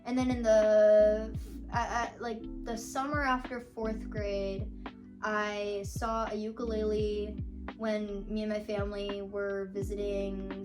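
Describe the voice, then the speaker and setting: A young woman, a songwriter, chatting casually and rambling with lots of "like" and small laughs. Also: medium pitch. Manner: rambling, full of "like" and little laughs. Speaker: songwriter answering fan questions. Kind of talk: casual chat. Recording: guitar music playing under the voice. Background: guitar music.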